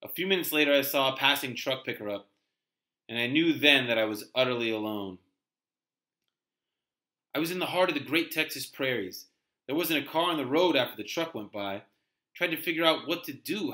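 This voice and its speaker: A man's voice: fairly high pitch, pushed and bright. A man playing a frightened, desperate young driver haunted by a phantom, in intense dramatic first-person storytelling. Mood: haunted, weary. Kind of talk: dramatic first-person radio-play narration read aloud.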